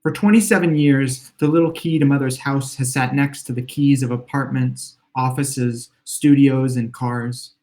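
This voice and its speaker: A slightly hoarse man, an author, reading his own literary fiction aloud in a measured, reflective first-person voice at home. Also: mid-range voice, steady tone, a little mellow. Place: home room with exposed brick.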